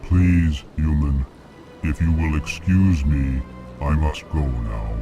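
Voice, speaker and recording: A man playing a ponderous alien merchant in a video game, speaking in a flat, formal, deliberate way and announcing each feeling. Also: rumbling low, over music, full character voice. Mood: ponderous, polite. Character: slow, ponderous alien merchant who announces his emotions.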